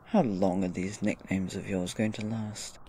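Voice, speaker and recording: A man playing a mysterious, formally courteous gentleman in an audio drama, dry, unhurried and theatrically teasing. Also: lowish voice, fairly even intonation, a little hiss or hum. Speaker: podcast drama actor.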